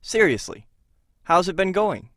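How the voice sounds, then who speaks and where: A man playing a patient but probing counselor in an audio drama, questioning in a halting way. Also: high-pitched, big pitch swings, a bit bright, dry recording, studio quality, full character voice.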